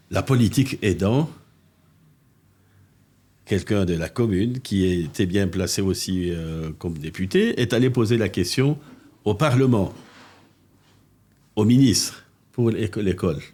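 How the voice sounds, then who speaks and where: An older man from Congo, a mayor telling animated anecdotes in a casual interview, with restarts and asides, recorded on a living-room podcast set. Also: on the low side, a little slow, long pauses, breathy edge. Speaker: mayor, political guest.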